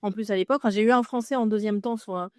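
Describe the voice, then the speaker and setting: A woman's voice: medium pitch, steady tone, very fast, darkish tone, dry recording, studio quality. A woman from France in her thirties, a podcast guest telling her story in a chatty way with many fillers and restarts. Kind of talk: casual interview conversation.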